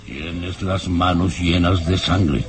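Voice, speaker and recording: An actor playing a sinister, commanding baron and cold scheming villain in a melodramatic radio drama, with an imperious, menacing delivery. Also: male voice, deep voice, steady tone, slow pace, music in the background. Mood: accusing, stern.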